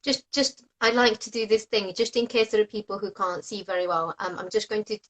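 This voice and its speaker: A woman's voice: mid-range voice, fairly even intonation, a bit bright, low-quality recording. Japanese woman hosting a friendly video chat. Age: 30s. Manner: friendly, laughs often, quick to praise. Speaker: host, oboist. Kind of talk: casual online interview. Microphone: video call.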